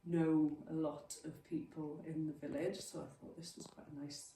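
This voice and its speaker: A Welsh woman in her thirties talks casually to a village group, chatty and self-deprecating. Her voice is low and mellow. She was recorded from some distance in a very echoey hall. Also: level tone, normal speed, slight background noise. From Wales. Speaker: guest speaker. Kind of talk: informal talk to a local group.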